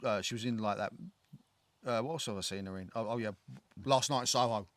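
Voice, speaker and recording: A man's voice: fairly low pitch, fairly quick, dry recording. A man with a thick London accent, rambling and swearing as he chats about films on a podcast. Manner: rambling, swears freely, many fillers and restarts. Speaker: podcast co-host. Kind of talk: casual film discussion. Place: living in Ireland.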